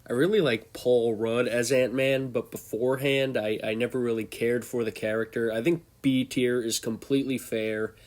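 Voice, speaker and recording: A man's voice: medium pitch, steady tone, normal speed, few pauses, darkish tone. A young man with a congested voice, hosting a casual, off-the-cuff tier-list commentary and thinking aloud as he goes. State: congested from a cold. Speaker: host.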